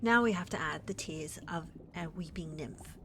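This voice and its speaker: A woman's voice: low-pitched, normal speed, slight background noise, lightly acted. A woman playing a flirty, controlling witch mistress in a medieval fantasy ASMR roleplay, coaxing and genteel in her manner. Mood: teasing. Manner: coaxing, genteel, calls others dear.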